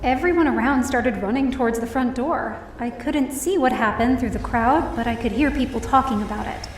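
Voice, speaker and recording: A woman's voice: a little high, normal speed, reverberant, far from the mic, slight background noise, natural voice. A woman playing an emotional young woman who narrates her own story in an audio drama. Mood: tense narration. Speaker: first-person narrator and lead.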